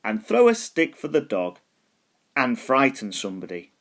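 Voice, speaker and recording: A man's voice: mid-range voice, wildly swooping pitch, normal speed, gravelly, a bit bright, exaggerated voice. A man voicing an eager, mischievous little skeleton in a children's storybook, forever making keen suggestions.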